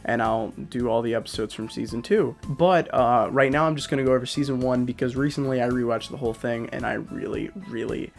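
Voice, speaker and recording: A man's voice: mid-range voice, fairly even intonation, a little fast, creaky, hardly any pauses, faint music behind. A young man talking casually as a YouTube reviewer, rambling and poking fun at himself, with lots of fillers. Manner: rambling, self-deprecating, many fillers. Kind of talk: casual vlog talk.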